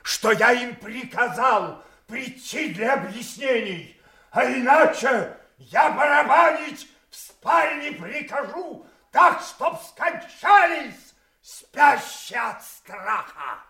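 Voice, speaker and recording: A man's voice: very high-pitched, lively intonation, slow pace, bright, forceful tone, reverberant, exaggerated voice. An older man acting a proud, wrathful, wounded old king and father in a theatrical, declamatory classical tragedy style. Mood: raging. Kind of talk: classical stage tragedy, audio play acting.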